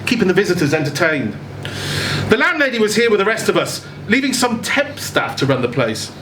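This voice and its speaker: A man giving an expressive live reading of a first-person short story. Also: sits high, a little fast. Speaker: story reader. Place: indoor event venue with audience. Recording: echoey room, clear background noise.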